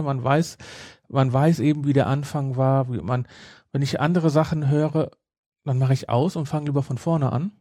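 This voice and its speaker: A man's voice: a little high, dark tone, no echo, clean studio sound. A middle-aged man chatting casually as a podcast co-host, opinionated and repeating phrases for emphasis. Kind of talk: casual review chat.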